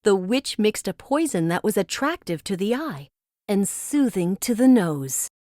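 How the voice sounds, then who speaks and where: A woman narrating a read-along children's storybook with expressive, dramatic storytelling. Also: medium pitch, unhurried, no room sound, studio quality.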